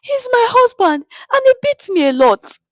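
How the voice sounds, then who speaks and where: A woman's voice: extremely high pitch, big pitch swings, moderate pace, no echo, pitched above the performer's own voice. A woman voicing a pregnant con woman in a storytelling, tearfully pleading and accusing. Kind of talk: dramatic storytelling dialogue.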